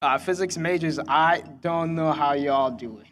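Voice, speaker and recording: Young Congolese-accented man, higher-pitched, speechmaking. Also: measured pace, a bit bright. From Kinshasa, Democratic Republic of the Congo. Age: young adult. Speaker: student commencement speaker. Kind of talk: prepared graduation speech.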